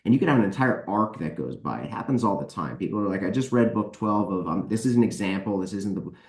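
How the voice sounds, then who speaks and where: A man with a fairly low voice chats casually as a podcast guest. He is emphatic and likes to repeat words for weight. The room around him is clearly echoey. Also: lively intonation, fast, darkish tone. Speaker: podcast guest, author and editor. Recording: roomy, echoey voice pickup.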